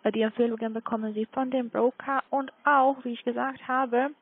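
A woman with a foreign accent in German making a polite, halting sales call as a non-native speaker. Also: a little high, fairly even intonation, no echo. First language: not German. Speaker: telemarketing caller for a trading firm. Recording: tinny phone line.

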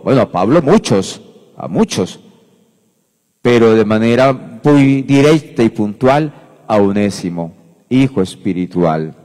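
A priest preaching a homily at Mass, speaking unhurriedly and emphatically into a handheld microphone, repeating phrases. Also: male voice, medium pitch, a little mellow. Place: church sanctuary at the lectern. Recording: reverberant church hall.

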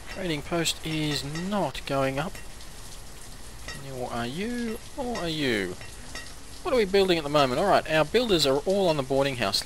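A man giving casual commentary while playing a strategy game, hoarse and coughing now and then as his voice wears out, chatting to his villagers as he goes. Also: on the high side, fairly bright tone, slight background noise, low-quality recording. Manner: chatty, talks to the game's villagers. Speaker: gameplay commentator. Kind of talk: casual let's-play commentary.

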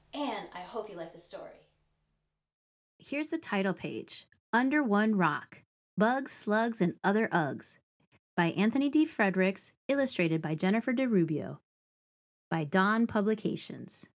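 A woman in her forties reads aloud for young children in a medium-pitched voice. Her delivery is deliberate and expressive, with the phrasing of a storybook reading led by a teaching artist.

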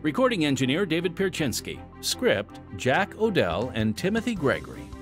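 A man's voice: on the high side, wildly swooping pitch, normal speed, faint music behind. A man hosting a podcast in a scripted, radio-style announcing delivery. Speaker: podcast host.

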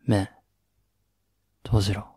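An actor playing a domineering young man who scolds and gives orders to his lover in a slow, low murmur for a romantic audio drama. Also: a little mellow, no echo, clean studio sound, natural voice.

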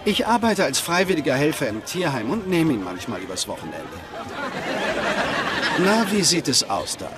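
Friendly neighbour, lively highish voice. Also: male voice, moderate pace. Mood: friendly, persuasive. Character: friendly neighbour, gently persuasive dog-shelter volunteer. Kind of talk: dubbed sitcom acting. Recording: loud crowd and music behind.

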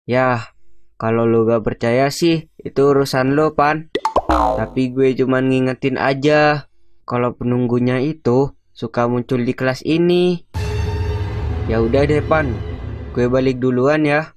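A man's voice: medium pitch, steady tone, a little slow, long pauses, lightly acted. Guy doing a young male cartoon student w jakarta accent, slangy, passing on spooky rumors, music underneath. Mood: ominous gossip. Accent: Jakarta Indonesian. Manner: casual, slangy storytelling. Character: young male college student spreading campus ghost rumors. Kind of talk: animated cartoon dialogue.

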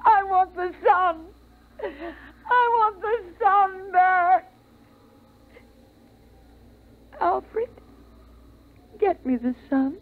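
Very high-voiced, fragile, fearful mother. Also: exaggerated voice. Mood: wailing grief. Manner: plaintive, dramatic, drawn-out. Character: fragile, fearful, unhinged mother pleading with husband. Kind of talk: 1950s radio melodrama acting. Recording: tinny band-limited 1950s radio recording.

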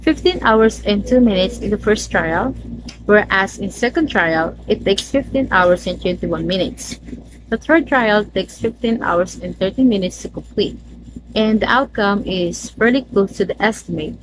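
A woman's voice: mid-range voice, expressive, moderate pace, noisy background. A young woman presenting student research, reading carefully and steadily from her notes.

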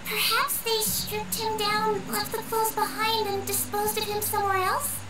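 Very high-pitched, earnest little game girl. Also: monotone, measured pace, music in the background, poor audio. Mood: curious. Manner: earnest, inquisitive. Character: small, high-voiced, earnest young girl game character. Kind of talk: video game voice acting.

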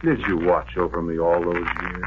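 An actor playing a weary, lovelorn war veteran, narrating in a brooding, poetic, measured way in a 1940s radio drama. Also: male voice, low voice, level tone, lightly acted. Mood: yearning. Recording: noisy, dull 1940s radio recording.

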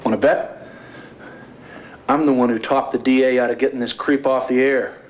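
A man's voice: mid-range voice, steady tone, normal speed, close to an everyday voice. An actor playing a weary, principled lawyer in his forties, terse, with an American accent. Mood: weary, grim. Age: 40s. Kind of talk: understated television drama acting. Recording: noisy television drama soundtrack.

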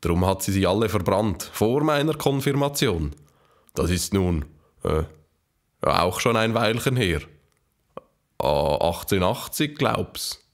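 Low-voiced historical village gunsmith. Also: male voice, lively intonation, a little slow, long pauses. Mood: matter-of-fact reminiscing. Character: plain-spoken village gunsmith of the 19th century, remembering family tales. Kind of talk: scripted audio drama.

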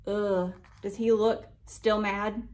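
A young adult woman reading a children's picture book aloud with expressive, drawn-out emphasis, pausing to put questions to young listeners. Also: mid-range voice. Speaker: library storytime reader.

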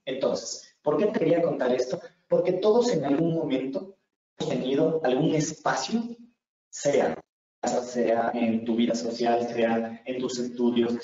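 Earnest young Ecuadorian-accented instructor, mellow tone, recorded from afar on a muffled line. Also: male voice, mid-range voice. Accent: Ecuadorian Spanish. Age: 20s. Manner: earnest, repeats words for emphasis. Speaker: communication instructor. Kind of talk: webinar lecture. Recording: echoey room, far from mic, muffled.

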